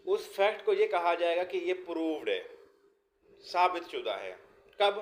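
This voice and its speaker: A Pakistani man lectures in a fairly high voice at a slow, deliberate pace. He reads out material and explains it step by step, rephrasing as he goes. The sound is echoey, as in a bare room. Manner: explanatory, repeats and rephrases points. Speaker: law lecturer. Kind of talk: exam lesson, reading out questions then explaining them.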